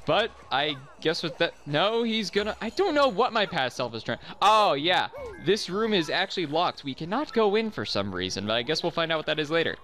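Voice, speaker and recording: A man's voice: a little high, sing-song, a little fast, hardly any pauses, sharp tone, soft background music. A young man giving chatty, self-deprecating commentary over recorded gameplay. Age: young adult. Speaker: let's play commentator.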